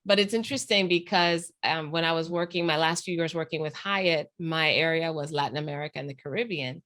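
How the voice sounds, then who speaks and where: Woman host from Panama, bright tone, animated, laughs a lot. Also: low voice, brisk. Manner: animated storyteller, laughs often. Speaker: podcast host. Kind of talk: casual video chat.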